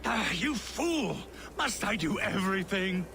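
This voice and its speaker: An actor playing an exasperated, bossy and bumbling raider in a video game, scolding and flustered. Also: male voice, sits high, sing-song, measured pace, vocal fry, slight background noise, full character voice. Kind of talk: comic video game acting. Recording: loud music over the voice.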